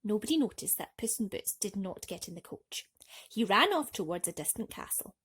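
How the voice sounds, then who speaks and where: A woman's voice: medium pitch, sing-song, moderate pace, fairly bright tone, breathy edge. A woman storyteller reading a bedtime story to children theatrically, speaking directly to young listeners.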